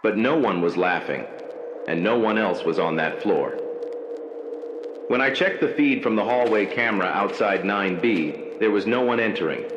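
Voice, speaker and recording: A man playing a haunted night-shift hospital worker, narrating a scripted horror story in slow, measured, ominous phrases. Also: fairly low pitch, lively intonation, echoey room, dull audio, noisy background, natural voice. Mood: grave, uneasy.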